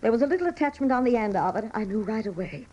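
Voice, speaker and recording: An actress playing a weary, fiercely loyal older wife and mother in a radio drama, pleading emphatically in a husky voice. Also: fairly high pitch, expressive, rapid-fire, dark tone, muffled recording. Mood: anguished. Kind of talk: dramatic radio play acting.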